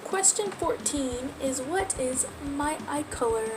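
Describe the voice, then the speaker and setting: Chatty high-voiced young Texan woman. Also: expressive, slow, slight background noise. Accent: American, mildly Texan. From Texas, USA. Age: young adult. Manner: chatty, rambling, laughs often. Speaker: vlogger. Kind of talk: casual get-to-know-me chat to camera. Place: at home.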